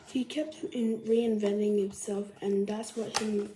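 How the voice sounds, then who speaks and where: A woman reading a children's biography aloud like a storybook, carefully and a little haltingly. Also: medium pitch, fairly even intonation, noisy background. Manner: careful, halting reading with stumbles and self-corrections. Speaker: storybook reader.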